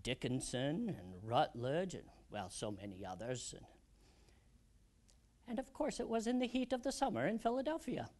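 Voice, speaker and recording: An actor playing an elderly American statesman in a theatrical historical monologue, proud and wistful, with deliberate, old-fashioned diction and reflective pauses. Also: on the high side, sing-song, darkish tone. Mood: fond, amused.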